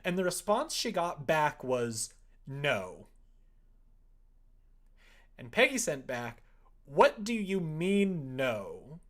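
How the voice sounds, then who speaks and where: A man's voice: on the high side, very animated, slow, pauses often, a bit bright. A man telling a rambling anecdote in casual livestream chat, acting out exchanges with an exasperated, storytelling manner. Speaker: live streamer.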